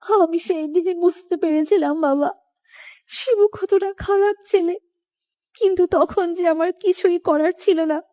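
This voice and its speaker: An actress playing an emotional, remorseful young daughter in a horror cartoon story. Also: female voice, very high-pitched, moderate pace, warm tone, no echo, studio quality. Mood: remorseful pleading. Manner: pleading, emotional.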